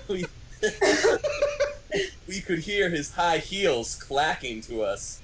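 Animated, slow-paced man reading a story. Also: mid-range voice, a little hiss or hum. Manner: theatrical, amused, prone to laughing. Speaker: podcast co-host. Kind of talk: mock-dramatic reading of fan fiction.

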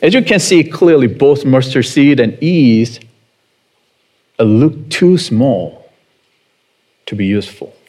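A man's voice: medium pitch, expressive, mellow. A man in his thirties with a Korean accent, preaching a sermon in a chatty way and putting questions to the congregation. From South Korea. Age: 30s. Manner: unhurried and conversational, chuckling often, asking the congregation questions. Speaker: pastor.

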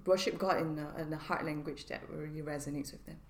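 Singaporean woman guest, low voice, hesitant and reflective. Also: fairly even intonation, fast. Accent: Singaporean English. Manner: searching, hesitant phrasing with restarts. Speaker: pastor and seminary student. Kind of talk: reflective conversational podcast guest.

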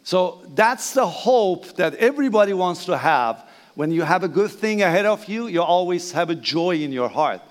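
Older Persian-accented pastor, high voice. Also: male voice, animated. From Iran. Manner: hesitant, halting, repeats phrases. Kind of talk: bilingual sermon. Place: church sanctuary. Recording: reverberant church hall.

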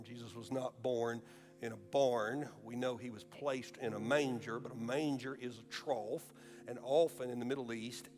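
A pastor from Alabama delivers a sermon in a mid-pitched male voice. He slows down hard to press his points, then speeds up when he tells a story. Instrumental music plays clearly behind him. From Alabama, USA. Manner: shifts between slow emphatic preaching and quick storytelling. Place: church sanctuary.

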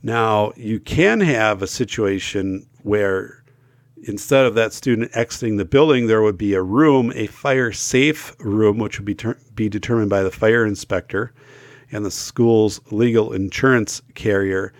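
A man's voice: fairly low pitch, measured pace, dry recording, studio quality. A middle-aged man hosting a school safety talk show, losing his voice and feeling unwell, speaking emphatically with many fillers and restarts. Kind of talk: casual talk to camera.

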